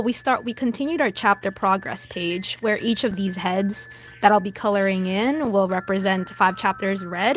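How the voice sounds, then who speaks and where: A young woman hosting a casual livestream, chatty and greeting viewers by name, while she is losing her voice. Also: fairly high pitch. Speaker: livestream host.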